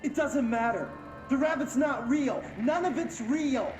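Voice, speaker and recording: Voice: sits high, measured pace. An actor playing a sullen, unstable captive man in a game, needling the person who evaluates him. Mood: scornful, needling. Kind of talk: game cutscene drama. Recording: echoey room, music behind.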